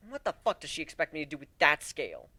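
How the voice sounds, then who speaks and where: A man's voice: a little high, huge pitch swings, quick pace, dry recording, full character voice. A man voicing an embittered, hot-tempered male griffin captain in a story, with emotional delivery. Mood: weary frustration. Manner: emotional, swings from muttering to shouting.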